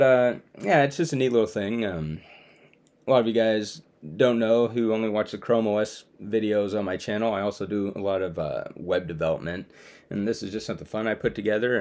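A young adult man giving a casual, conversational demo to viewers as a video creator. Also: on the low side. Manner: informal, conversational. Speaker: video creator presenting his own web app. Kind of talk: casual screen-share demo, talking to viewers. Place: small room at home, indoors.

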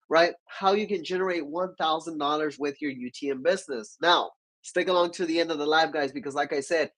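A man's voice: a little high, rough recording. A young man with a stuffy cold hosting a business live stream, talking with energy and asking viewers to comment. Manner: energetic, gestures with both hands, keeps asking viewers to comment. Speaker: livestream host. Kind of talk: business livestream teaching. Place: small dark-walled home studio.

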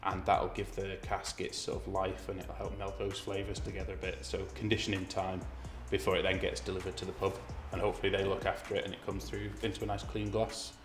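A man's voice: low-pitched, little pitch movement, a little fast, hardly any pauses. A man, around forty, a brewer explaining things in a documentary interview in a brick-walled room. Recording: roomy echo, music underneath.

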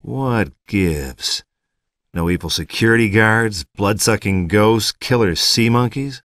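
A man playing a gruff, cocky, wisecracking monster hunter in an action-comedy video game, deadpan and swaggering. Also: fairly low pitch, slow pace, no room sound, studio quality. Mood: sarcastic.

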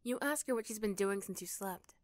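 A woman's voice: mid-range voice, brisk, no echo, clean studio sound. A woman reading scripted second-person story narration for an ASMR roleplay.

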